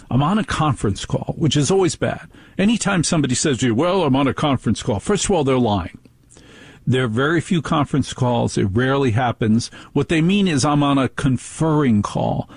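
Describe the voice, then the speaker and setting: A man's voice: mid-range voice, slightly rough, no room sound. A man hosting a radio talk show, ranting in a rambling monologue and mocking others in put-on voices.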